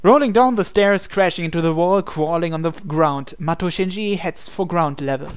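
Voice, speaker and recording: A man's voice: on the high side, normal speed, clean tone. A man hosting a gaming channel, reading visual novel narration aloud.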